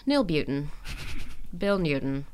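Lively, playful female podcast host. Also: low voice, slow pace, clear, resonant tone. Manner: playful, sweary, effusive. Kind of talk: casual, joking interview chat.

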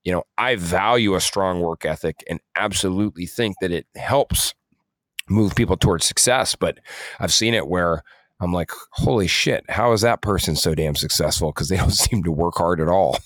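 A man's voice: deep voice, normal speed, a bit bright, no room sound. A man co-hosting a podcast, talking casually and earnestly in an informal, conversational way. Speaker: podcast co-host, music artist manager.

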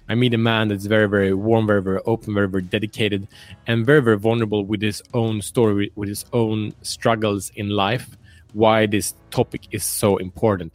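Low-voiced male host, close dry sound. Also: no echo. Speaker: podcast host interviewing a guest. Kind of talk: motivational show introduction and interview.

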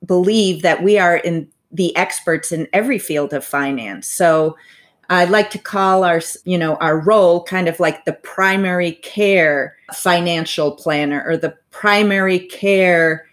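A woman in her forties, a financial advisor, giving thoughtful, measured interview answers with frequent asides. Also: lowish voice. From United States, parents from Bolivia.

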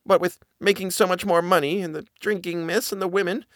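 Brief: male reader doing a jittery middle-aged housekeeper, high and halting, dry close sound. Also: fairly even intonation, a bit bright, no echo. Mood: fearful. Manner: halting, stammering, plain servant speech. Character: frightened old nanny, a middle-aged housekeeper woman.

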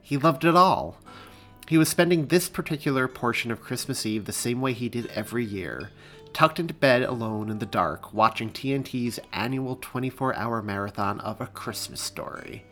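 A man hosting a podcast and reading his own story as expressive, deliberate narration. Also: mid-range voice, soft background music. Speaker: podcast host and author reading. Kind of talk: scripted narration, audiobook-style storytelling.

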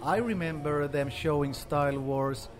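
A man's voice: a little high, a little slow, faint music behind. A man in his forties, from Finland and raised in Sweden, speaking casually with many fillers as an interview guest. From Finland, grew up in Sweden. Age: 40s. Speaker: guest artist.

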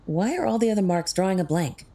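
A woman's voice: medium pitch, lively intonation, mellow. A woman playing a witty, sarcastic crime-fighting tech expert in an audio drama, with a wry manner. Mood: wry, teasing. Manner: wry, sarcastic, quick-witted.

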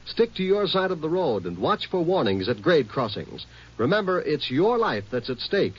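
A man's voice: on the high side, big pitch swings, moderate pace, slightly rough. A man reading a scripted public safety announcement on 1950s radio in an earnest, emphatic way. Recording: muffled old 1950s radio recording.

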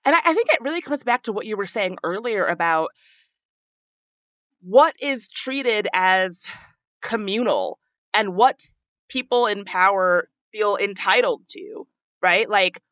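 A woman with a high voice, hosting a podcast in casual, earnest discussion, thinking aloud with frequent restarts. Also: animated, measured pace, a bit bright, dry recording, clean studio sound. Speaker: podcast host.

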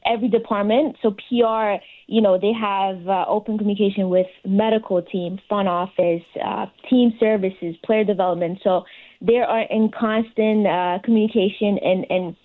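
A woman's voice: mid-range voice, steady tone, normal speed, mellow, poor audio. Earnest woman answering as a guest. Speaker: interview guest, recent fellowship recipient. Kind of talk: conversational interview answers.

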